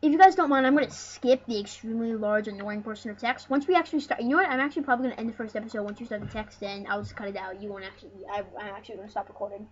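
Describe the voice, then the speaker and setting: An excitable, rambling host giving casual, jokey commentary over a video game. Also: medium pitch, racing, few pauses. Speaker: host of a gaming channel. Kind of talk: casual let's play commentary. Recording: dull lo-fi mic with loud noise.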